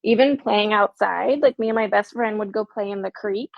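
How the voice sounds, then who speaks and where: A chatty woman hosting a relaxed conversation, full of fillers. Her voice carries a gravelly vocal fry. The audio is dull, dry and lo-fi. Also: medium pitch, moderate pace, no room sound. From United States. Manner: chatty, many fillers and giggles. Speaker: host. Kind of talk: casual chat.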